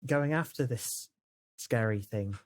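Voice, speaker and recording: Low-voiced woman chatting in a game. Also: slow, darkish tone. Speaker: tabletop role-playing game player. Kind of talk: casual improvised in-character chat.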